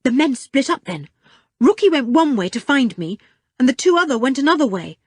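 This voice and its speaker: Brief: woman voicing a frightened young boy, breathless, lively pitch. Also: fairly quick, breathy edge, dry recording, clean studio sound, full character voice.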